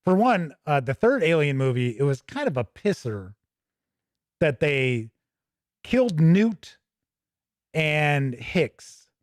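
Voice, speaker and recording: An American man with a fairly high voice hosts a casual gaming review. He rambles and thinks aloud as he goes. The sound is dry, with very little room to it. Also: very animated, slow pace, long pauses, dry recording. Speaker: tabletop gaming show host. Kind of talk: casual off-the-cuff game book review.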